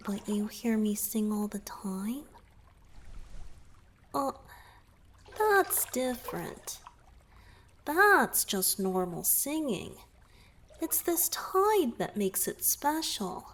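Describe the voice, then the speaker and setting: A shy young merman boyfriend, acted by a woman, talks to the listener in a romantic ASMR roleplay. The voice sits fairly high and moves very slowly, hesitant and easily flustered yet gently earnest. Faint noise and trickling water lie behind it. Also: very animated, exaggerated voice. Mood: explaining, earnest. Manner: hesitant, flustered, with sighs and murmured asides.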